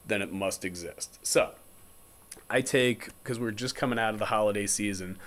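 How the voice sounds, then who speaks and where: A young American man in his twenties speaks in a slightly low voice. He lectures informally, explaining ideas deliberately, restating them and pausing to check that listeners follow. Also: fairly bright tone. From Connecticut, United States. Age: 20s. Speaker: philosophy lecturer.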